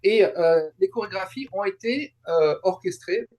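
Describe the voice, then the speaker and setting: A man chatting casually as an interview guest, explaining in halting, repetitive phrases. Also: sits high, lively intonation, lo-fi sound. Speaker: guest, translator and YouTuber. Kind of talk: casual interview chat about a fan event.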